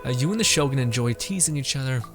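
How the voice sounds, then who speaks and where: Rambling young man streaming, mid-pitched. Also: animated, over music. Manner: rambling, halting. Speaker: game streamer. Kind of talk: casual live gameplay commentary.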